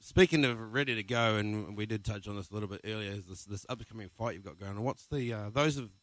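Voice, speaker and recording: A man's voice: lowish voice, fast talker, fairly bright tone, no room sound, low-quality recording. A man hosting a casual podcast interview, asking teasing, joking questions. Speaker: host.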